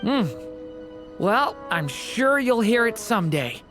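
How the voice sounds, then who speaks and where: Sweet earnest dragon kid hero, video game, animated delivery, music under it. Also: mid-range voice, slow. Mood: sincere, grateful. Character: earnest, gentle young dragon boy hero, grateful and sincere. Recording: music behind the voice.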